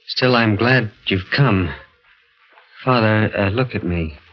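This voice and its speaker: An actor playing a tormented little acrobat awaiting execution, halting and confessional, in 1940s radio drama style. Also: male voice, on the low side. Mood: brooding, weary. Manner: halting, confessional, stammering when afraid. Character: tormented condemned little man, a stage acrobat three feet high. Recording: muffled vintage radio recording with music.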